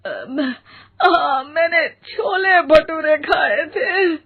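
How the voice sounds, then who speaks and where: A woman's voice: high-pitched, drawn-out words, exaggerated voice. A woman voicing a money-minded older mother-in-law in a cartoon story. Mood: pained. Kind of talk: Hindi cartoon story acting. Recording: thin, phone-like narrow sound.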